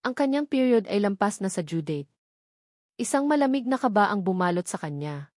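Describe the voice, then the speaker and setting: A woman's voice: medium pitch, sing-song, normal speed, a little mellow, no room sound. Filipino woman narrating a romance, dry close sound. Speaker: story narrator. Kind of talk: scripted narration of a romance story.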